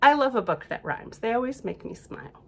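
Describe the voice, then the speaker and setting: A woman's voice: fairly high pitch, expressive, a little fast, gravelly, a bit bright. A woman reading a picture book aloud at storytime, adding chatty asides and asking her young listeners questions. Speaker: library storytime reader.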